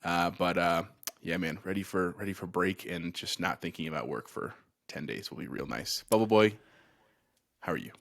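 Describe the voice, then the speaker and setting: A man's voice: deep voice, normal speed, creaky, a bit bright, no echo, clean studio sound. A man co-hosting a gaming podcast in a casual, conversational style. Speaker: podcast co-host.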